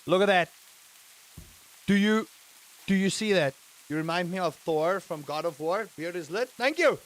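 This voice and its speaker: A streamer from England chats easily with his live audience while he plays. His voice sits a little high and swings up into excited exclamations, then drops back to a relaxed, running commentary. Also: normal speed, low-quality recording. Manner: animated, rising to excited exclamations; chatty, addresses chat directly. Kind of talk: live-streamed gameplay chat.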